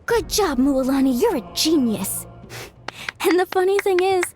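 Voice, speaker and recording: A woman playing a cheerful, chatty young shopkeepers' daughter in a video game, bubbly and expressive. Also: very high-pitched, unhurried. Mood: upbeat, playful. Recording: light music behind.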